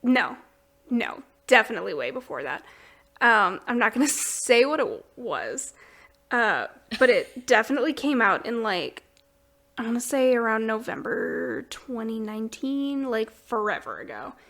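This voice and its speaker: A woman's voice: fairly high pitch, sing-song, unhurried, fairly bright tone. A woman hosting a podcast in a casual book chat, talking informally and chattily and laughing readily. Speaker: podcast host.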